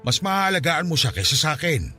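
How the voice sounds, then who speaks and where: A man with a Filipino accent acting in a radio drama as a gruff, troubled loner who is a reluctant foster father, halting and insistent. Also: on the high side, sing-song, sharp tone, faint music behind. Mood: earnest pleading.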